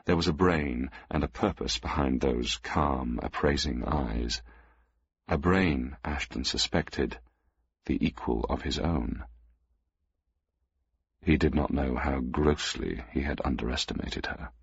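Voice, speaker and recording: Male narrator for an audio story; very deep, husky, muffled recording. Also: slow, no room sound.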